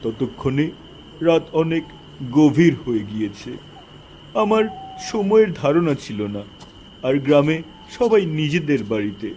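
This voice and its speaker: A man's voice: fairly high pitch, big pitch swings, a little slow, pauses often, darkish tone, slight background noise, poor audio. A man narrating a scripted horror story in the first person, in a dramatic style. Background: music, night insects.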